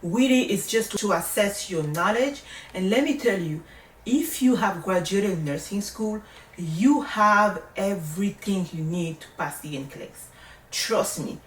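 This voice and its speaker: A woman's voice: medium pitch, animated, a little slow. A woman with a French accent, a native French speaker, giving casual advice as a vlogger in a reassuring, emphatic way. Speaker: vlogger, nursing study coach. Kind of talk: casual advice talk to camera. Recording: roomy echo, distant microphone.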